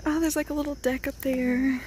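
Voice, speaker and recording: Chatty woman vlogging outdoors, fairly high. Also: little pitch movement, fairly quick. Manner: chatty, loose, trailing off with fillers. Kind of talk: casual travel vlog chat. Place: outdoors at a resort. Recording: noisy outdoor phone recording.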